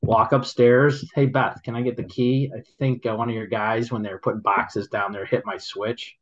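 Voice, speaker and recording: A man telling stories in casual chat, halting and rambling, laughing often as he goes. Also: medium pitch, flat delivery, lo-fi sound. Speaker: guest, local business owner.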